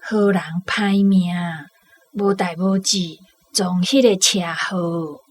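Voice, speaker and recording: A woman's voice: mid-range voice, steady tone, slow pace. An actress with a Taiwanese accent playing a sympathetic woman talking of a friend's hardships in a radio drama. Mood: sympathetic, sorrowful.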